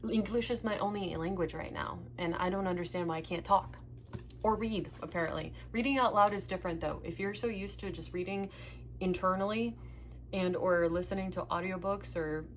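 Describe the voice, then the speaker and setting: Chatty woman, lowish voice, faint hiss. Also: normal speed. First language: Russian. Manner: chatty, laughs at her own stumbles. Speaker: book unboxing vlogger. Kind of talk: casual unboxing chat with read-aloud blurbs.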